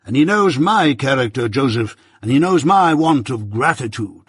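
Guy doing a puffed-up preachy old shopkeeper, higher and louder, husky dry sound. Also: lively intonation, no echo. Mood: lecturing. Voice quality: pompous, preaching, drawn-out emphasis. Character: pompous self-righteous older male corn merchant.

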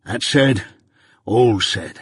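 A man voicing a rough older male ex-convict recounting his past in rustic dialect, confiding and plain. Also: lowish voice, drawn-out words, dry recording, clean studio sound, exaggerated voice.

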